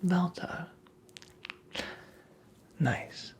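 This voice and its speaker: A performer playing a creepy, teasing, very old male magical imp in a whispered ASMR roleplay, with breathy, creaky, theatrical delivery. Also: a little hiss or hum. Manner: slow, theatrical, taunting, toying with the listener.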